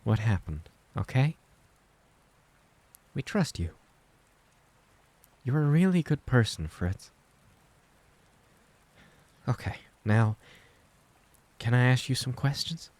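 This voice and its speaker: A man voicing a gentle, worried middle-aged mother who speaks softly and carefully to reassure her son. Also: fairly low pitch, moderate pace, pitched above the performer's own voice, lightly acted. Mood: reassuring.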